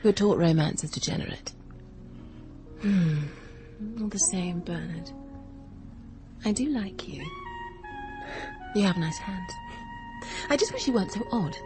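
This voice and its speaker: Animated, airy young woman. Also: mid-range voice, measured pace, long pauses, over music. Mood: teasing, tender. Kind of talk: radio drama acting.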